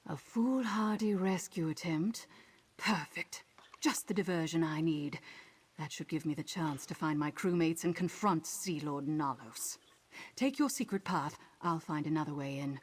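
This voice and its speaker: A woman's voice: low-pitched, measured pace, slightly rough. An actress playing a tough, vengeful seafaring woman with a dry, sardonic manner in a video game. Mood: dry, determined. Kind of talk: video game character dialogue.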